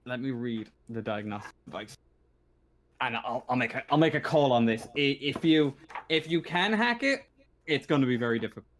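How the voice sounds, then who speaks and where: A man running a casual online tabletop game as game master, narrating and ruling in a halting, hesitant way. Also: medium pitch, lively intonation, a little hiss or hum.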